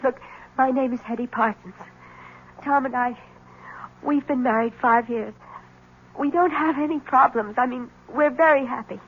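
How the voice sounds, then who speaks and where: An actress playing an anxious, fragile, devoted young wife in a 1970s radio mystery, speaking in a hesitant, pleading way. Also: female voice, high voice, normal speed, pauses often, slight background noise. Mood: anxious, bewildered. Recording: tinny, band-limited old radio broadcast.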